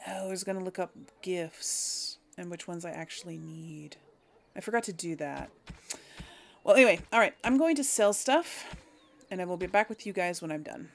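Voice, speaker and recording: A woman's voice: on the low side, moderate pace, gravelly, a little hiss or hum. A woman hosting a let's play, thinking aloud in casual, hesitant gameplay commentary. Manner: musing aloud, hesitant deliberation.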